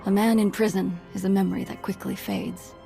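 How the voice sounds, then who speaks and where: A stern, diplomatic stateswoman played by a woman, formal and composed, with a noticeable creak in her mid-pitched voice. Music plays behind this game dialogue. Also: level tone, fairly quick, lightly acted. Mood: grave. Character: composed, stern female government official. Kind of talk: video game cutscene acting.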